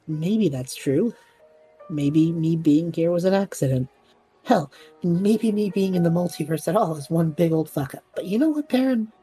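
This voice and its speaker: A woman's voice: on the low side, expressive, measured pace, long pauses, mellow, slightly rough, soft background music. A woman playing a brash, sarcastic young wanderer in an audio drama, talking casually. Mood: defiant. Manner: casual, flippant. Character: brash, sarcastic young wanderer named Trevor, warm toward kids.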